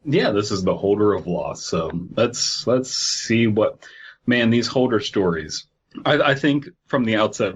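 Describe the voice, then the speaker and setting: A slightly muffled recording of a man with a low, husky voice. He co-hosts a podcast in a casual, joking way, breaking off into mock quotes as he reads aloud. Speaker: podcast co-host. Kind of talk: casual comedic banter and reading a horror story aloud.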